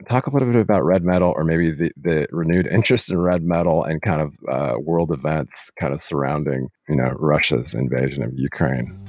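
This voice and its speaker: A man hosting a casual podcast interview, putting questions in a halting, roundabout, informal way. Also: extremely low pitch, a little mellow, no room sound, studio quality.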